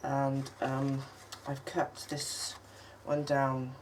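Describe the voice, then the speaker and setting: Croaky woman with a cold. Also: monotone, darkish tone. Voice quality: husky, low for her. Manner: chatty, halting, many ums, coughs now and then. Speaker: craft channel host. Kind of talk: casual crafting tutorial. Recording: distant mic with faint noise.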